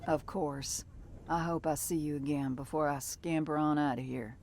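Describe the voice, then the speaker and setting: A woman's voice: sits low, steady tone, moderate pace, noisy background. An actress playing a tough, plainspoken American female bounty hunter in a video game. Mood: friendly. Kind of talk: video game cutscene acting.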